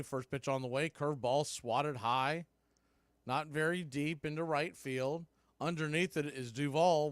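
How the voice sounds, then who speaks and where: A man's voice: fairly high pitch, a bit bright, smooth, dry recording. Man calling baseball play-by-play. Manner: conversational, rising on big plays. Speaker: play-by-play announcer. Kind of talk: radio play-by-play commentary.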